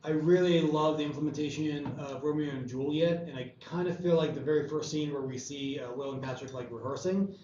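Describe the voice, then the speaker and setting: A man in a casual group discussion, offering script suggestions in a hesitant, softened way. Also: on the high side, fairly quick, few pauses. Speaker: table read participant giving script feedback. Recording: distant mic, echoey room, muffled, faint noise.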